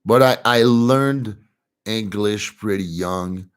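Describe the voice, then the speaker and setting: A young man with a French accent, his first language being French, hosts a relaxed livestream, chatting in English to his viewers. His voice sits a little low, and he talks in an easygoing, chatty way with soft laughs. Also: takes it slowly, smooth. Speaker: livestream host reading chat. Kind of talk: casual livestream chat.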